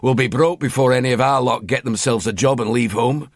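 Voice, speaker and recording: A man's voice: mid-range voice, brisk, no room sound, studio quality, natural voice. A man voicing a cynical middle-aged detective with a Scottish accent, grumbling and blunt.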